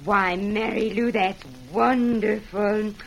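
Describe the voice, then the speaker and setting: A woman's voice: medium pitch, fairly even intonation, slow pace. An actress playing a domineering middle-aged farm mother in an old-time radio western, imperious and theatrical. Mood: delighted, gushing. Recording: noisy vintage radio recording.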